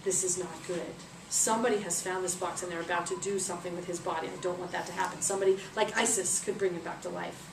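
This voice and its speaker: Sly villain god, voiced by a woman storyteller, low sinister mutter; far-off mic, noisy room. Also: steady tone, fast, lightly acted. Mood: scheming. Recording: roomy, distant mic, background noise.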